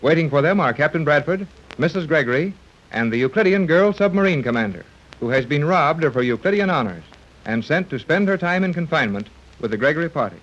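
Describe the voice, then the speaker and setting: A male announcer giving measured, dramatic narration for a 1930s radio serial. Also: on the high side, animated, a bit bright. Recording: muffled old radio recording.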